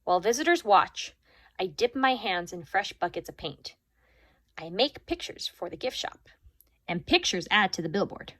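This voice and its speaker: A woman teacher reading a chapter book aloud to her class, with expressive, lively storytelling. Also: medium pitch, normal speed, dry recording.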